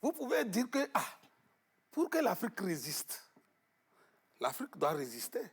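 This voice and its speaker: A man being interviewed in a television studio, explaining at length with emphasis, often pausing on fillers and repeating words. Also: sits high, wildly swooping pitch, breathy edge. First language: Arabic-educated, French not first. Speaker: guest on traditional knowledge.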